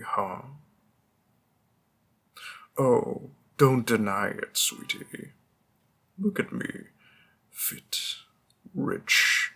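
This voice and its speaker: A man's voice: medium pitch, a bit bright, slightly rough, heavily acted. A man playing a smug, flirtatious mob boss who teases a detective and drops veiled threats. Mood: flirty. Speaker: audio roleplay performer. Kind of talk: scripted audio roleplay.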